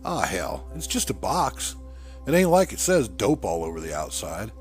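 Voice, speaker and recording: A man's voice: lowish voice, wildly swooping pitch, normal speed, soft background music. A male narrator voicing a jittery, down-on-his-luck man who talks to himself in colloquial, self-scolding lines. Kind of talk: acted audiobook dialogue.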